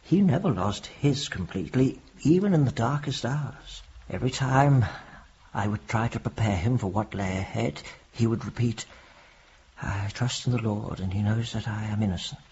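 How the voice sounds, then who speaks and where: An actor playing a reflective, gently probing prison chaplain with a husky, gravelly voice in a radio drama. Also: male voice, fairly low pitch, normal speed, lo-fi sound. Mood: reflective, earnest.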